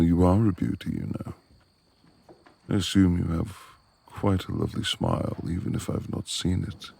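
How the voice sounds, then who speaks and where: A man playing a gruff, reclusive lord of the manor in a romantic ASMR audio roleplay, with gravelly, formal, imperious speech and a tender streak. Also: deep voice, darkish tone, exaggerated voice. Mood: teasing, brooding. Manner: formal old-fashioned diction, imperious.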